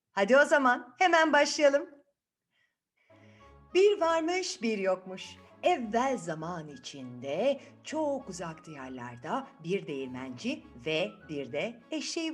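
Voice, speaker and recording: A woman telling a children's fairytale in a chatty way, asking rhetorical questions and repeating words for effect. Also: medium pitch. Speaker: children's storyteller.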